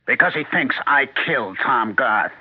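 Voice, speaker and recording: A brooding, paranoid husband played by a man talks in bitter, defensive bursts. His pitch moves around a great deal. It is 1970s radio acting on a thin, narrow broadcast recording. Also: animated, moderate pace, background noise, lightly acted. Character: sullen, paranoid, hard-drinking husband.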